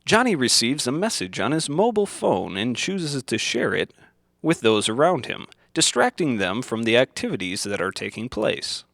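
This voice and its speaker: A man reading scripted instructional narration in the manner of an old educational film, deliberate and didactic, in simple phrases. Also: mid-range voice, big pitch swings, vocal fry, a bit bright, no echo, clean studio sound.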